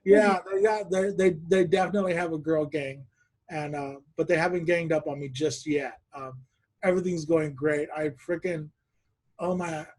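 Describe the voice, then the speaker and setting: A man hosting a podcast over a video call, telling stories in a relaxed chat and laughing often. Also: a little high, moderate pace. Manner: laughs often, animated storytelling. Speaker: podcast host. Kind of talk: casual chat.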